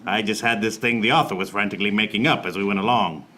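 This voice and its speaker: An actor playing a wry, beleaguered private eye, narrating a noir radio play in a deadpan manner. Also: male voice, fairly low pitch, fast. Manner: deadpan, fourth-wall-breaking.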